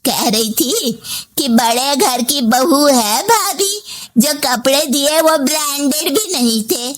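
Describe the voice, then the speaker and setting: Slow, scolding older mother-in-law acted by a woman. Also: sits high, lively intonation. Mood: aggrieved. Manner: scolding, complaining, drawn-out. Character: domineering, demanding older mother-in-law, sharp-tongued and partial. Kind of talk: story voice acting.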